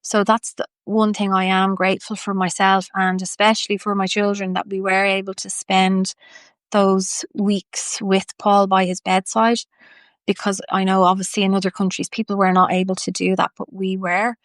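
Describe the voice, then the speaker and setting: A woman's voice: medium pitch, flat delivery, normal speed, no room sound, studio quality. A woman with a Dublin Irish accent, a homeopath telling her personal story in an earnest, reflective way. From Ireland.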